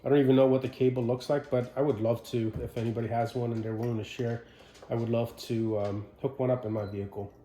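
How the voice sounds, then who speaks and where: A man's voice: medium pitch, little pitch movement, quick pace, dark tone, a little hiss or hum. Man giving an informal walkthrough, echoey room. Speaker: presenter of a military radio equipment walkthrough. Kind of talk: informal instructional demonstration, unscripted. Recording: roomy, echoing sound.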